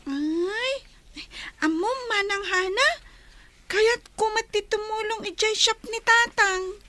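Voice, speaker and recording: A woman's voice: extremely high pitch, slow pace, sharp tone, no echo, full character voice. A woman playing an eager, helpful young girl, a younger sister, in a radio drama. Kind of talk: Ilocano radio drama acting.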